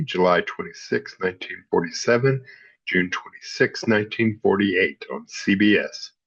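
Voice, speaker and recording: A man's voice: fairly low pitch, a bit bright, breathy edge, muffled recording. A man narrating in a scripted style, reading encyclopedia-like history as a host.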